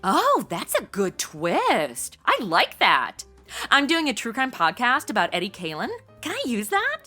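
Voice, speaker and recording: Flippant meddling young podcaster, super swoopy bright voice, comedy radio play. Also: female voice, a little high, huge pitch swings, measured pace, soft background music, heavily acted. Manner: flippant modern slang, chirpy interjections. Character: breezy, tactless, meddling young woman podcaster. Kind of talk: comedy audio drama acting.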